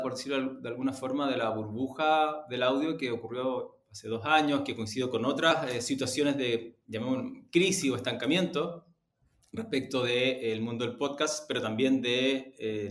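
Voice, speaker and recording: A man giving measured, reflective answers as an interviewed executive. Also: mid-range voice, fairly bright tone. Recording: roomy, echoing room.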